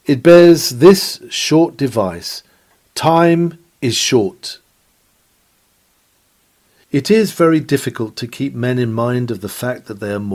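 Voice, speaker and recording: A man's voice: on the high side, a little mellow. A man reading an old-fashioned sermon aloud in an earnest, oratorical manner with formal, dramatic phrasing. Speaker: sermon reader, preacher. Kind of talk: dramatic read-aloud 19th-century sermon.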